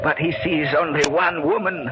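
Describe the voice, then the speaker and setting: Male actor as menacing otherworldly master for radio horror: high voice, very noisy muffled recording. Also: fairly even intonation, close to an everyday voice. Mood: ominous. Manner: slow, ominous, commanding. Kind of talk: old-time radio horror acting. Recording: muffled old radio, very loud noise.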